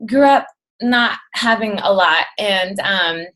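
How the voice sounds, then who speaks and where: A woman in her late thirties, losing her voice, speaking as a chatty, excitable guest on a team video call. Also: medium pitch, slow. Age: 30s. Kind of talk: casual talk on a video call.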